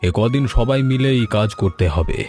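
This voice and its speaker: A man's voice: medium pitch, normal speed, a little mellow, soft background music, lightly acted. A man playing a considerate, organised middle-aged village father in a cartoon. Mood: glad, planning. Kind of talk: cartoon voice acting.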